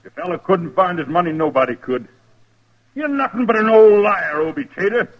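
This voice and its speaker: A man playing a sneering, excitable outlaw in an old radio western, rough and accusing in speech. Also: sits high, normal speed. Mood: bitter, accusing. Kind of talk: 1950s radio western acting. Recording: muffled old radio recording.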